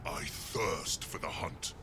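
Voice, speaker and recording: A man's voice: very deep voice, slow pace, background noise. An actor playing a bloodthirsty, playful male hunter in a video game, menacing and teasing. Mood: eager menace.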